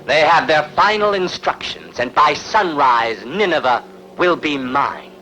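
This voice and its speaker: An actor playing an ambitious, ruthless warlord in a dubbed historical epic, speaking in a grand, declamatory way. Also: male voice, medium pitch, sharp tone, slight background noise, exaggerated voice, poor audio. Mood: commanding, menacing. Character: ambitious, ruthless, proud conquered warlord plotting to seize a throne.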